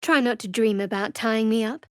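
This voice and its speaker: A young woman cleric of a dark goddess, played by an actress for a video game, in a slightly high, closely recorded dry sound. She is guarded and sardonic, delivering everything with a dry, wry edge. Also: normal speed, clean tone, no room sound, close to an everyday voice. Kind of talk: video game character voice acting.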